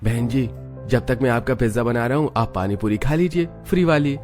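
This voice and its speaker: A man's voice: mid-range voice, fairly quick, a little mellow, soft background music, natural voice. A man voicing an eager, polite young husband who sells street food in a cartoon story.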